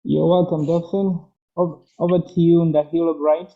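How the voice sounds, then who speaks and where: A man's voice: fairly high pitch, flat delivery, normal speed. A man from Tanzania moderating an online panel in a polite, formal way. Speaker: moderator, mental health therapist. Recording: muffled lo-fi audio.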